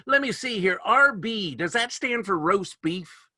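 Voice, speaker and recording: A man improvising a confused fast-food customer who stammers over his order. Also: high-pitched, animated, pushed and bright, no echo, studio quality, full character voice. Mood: bemused. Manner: hesitant, stammering. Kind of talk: improv comedy.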